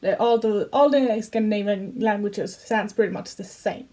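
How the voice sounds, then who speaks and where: A woman's voice: medium pitch, moderate pace, dark tone. A young woman with a Swedish accent chatting casually in a reaction video, giggly, with slightly broken English grammar. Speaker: YouTuber reacting to songs.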